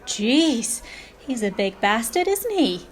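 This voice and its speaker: A woman's voice: fairly high pitch, sing-song, takes it slowly. A woman playing a blunt, tactless fortune-teller in a radio play, brusque and matter-of-fact with dry gloom. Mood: blunt, nosy.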